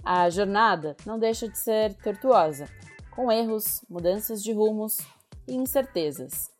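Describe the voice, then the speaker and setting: A woman reporting and narrating a scripted radio news feature, measured, and speaking straight to the listener. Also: mid-range voice, faint music behind. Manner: measured, addresses the listener directly.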